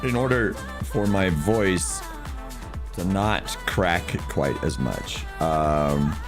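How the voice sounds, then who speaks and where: Hoarse deep-voiced man, talking softly. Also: extremely slow, over music. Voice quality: unusually deep from lost voice. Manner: talks quietly to spare his voice; chats to viewers, chuckles at himself. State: hoarse, lost voice. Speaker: streamer. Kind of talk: casual livestream commentary while playing.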